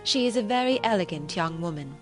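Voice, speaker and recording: A woman's voice: fairly low pitch, animated, brisk, natural voice. Lady reader as a poised young woman in an old novel, confident, well spoken, music in the background. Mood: assured. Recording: relaxing music behind the voice.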